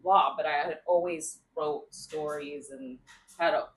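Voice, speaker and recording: A woman's voice: deep voice, steady tone, a little slow, a little hiss or hum, rough recording. Self-assured young woman from New York. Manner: self-assured storyteller with frequent laughs. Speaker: guest TV writer. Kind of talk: casual podcast chat.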